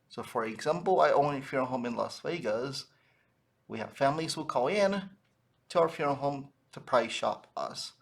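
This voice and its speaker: A man's voice: medium pitch, creaky. Man giving an informal, conversational training talk. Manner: conversational, frequently tags phrases with "right?". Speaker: sales trainer for funeral businesses. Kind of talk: informal instructional talk to camera.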